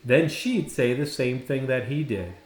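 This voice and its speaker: A man narrating a children's storybook expressively, with dramatic, deliberate phrasing and pauses. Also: medium pitch, expressive, darkish tone, faint music behind. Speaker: storybook reader. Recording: roomy, echoing indoor sound.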